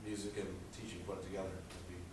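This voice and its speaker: A teenage high school boy with a low speaking voice gives an earnest, rambling presentation about his senior project, with many fillers. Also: flat delivery, fast talker. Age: teens. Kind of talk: presentation speech. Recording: echoey room, distant mic, background noise.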